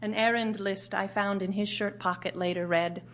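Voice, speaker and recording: An actress playing a grieving widowed writer, narrating in a measured, solemn, literary way for audio theatre. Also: female voice, medium pitch, steady tone, a little hiss or hum, natural voice. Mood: solemn, reflective. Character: grieving widowed woman writer recounting her husband's last year.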